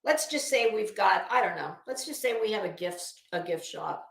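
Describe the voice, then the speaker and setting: A woman's voice: mid-range voice, lively intonation, normal speed, vocal fry, a bit bright, echoey room. A woman doing casual livestream commentary as host, blunt, salty and emphatic, with a disdainful edge.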